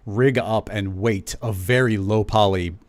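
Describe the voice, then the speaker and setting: A man hosting a livestream show, chatting in a relaxed way and reading out viewer questions. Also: on the low side, unhurried, dry recording. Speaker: host.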